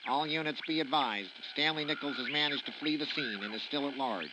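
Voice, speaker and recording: A man's voice: fairly high pitch, fairly quick. A man playing a businesslike police dispatcher in a radio drama, clipped and official, heard through a radio. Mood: urgent, official. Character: businesslike male police dispatcher issuing an alert. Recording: noisy police radio transmission.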